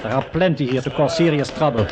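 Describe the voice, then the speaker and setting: An actor playing a watchful communist minder in a 1950s radio drama, speaking in clipped, formal broken English. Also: male voice, mid-range voice, fast talker, background noise, natural voice. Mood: cold approval.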